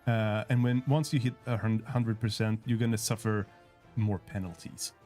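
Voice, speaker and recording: A man's voice: lowish voice, fairly even intonation, moderate pace, warm tone, faint music behind. A man for whom English is a second language, casually walking through a strategy game on a developer livestream with frequent fillers and restarts. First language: not English. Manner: hesitant, many fillers and restarts. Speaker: game developer demonstrating gameplay. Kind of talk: casual livestream gameplay walkthrough.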